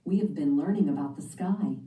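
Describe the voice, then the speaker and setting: A woman reading aloud the lines of a kind schoolteacher giving a class clear instructions. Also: sits low, a little fast, dark tone, close to an everyday voice. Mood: instructing. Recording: roomy and distant.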